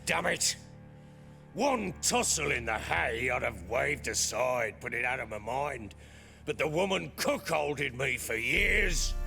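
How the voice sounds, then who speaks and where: An actor playing a gruff, bitter, hot-tempered middle-aged baron and soldier in a video game, coarse and blustering in his talk. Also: a little high, pushed and bright, full character voice. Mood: bitterly indignant. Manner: coarse, blustering, profane storytelling. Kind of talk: dramatic video game acting.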